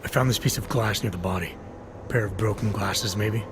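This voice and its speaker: Low, brisk male investigator, acted by a man. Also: background noise, close to an everyday voice. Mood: analytical. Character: terse, methodical male investigator. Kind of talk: video game cutscene dialogue.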